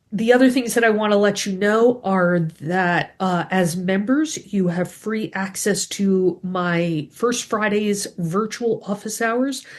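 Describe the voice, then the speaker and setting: Congested woman hosting a video call. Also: mid-range voice. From New England. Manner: chatty, many ums and restarts. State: congested from a cold. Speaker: grant writing advisor hosting a members' call. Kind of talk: casual video call briefing.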